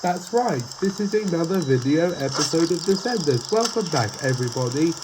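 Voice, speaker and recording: A man with a stuffy nose hosting his gaming channel, rambling through gameplay commentary with lots of fillers, restarts and sudden excited outbursts. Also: fairly high pitch, brisk, noisy background.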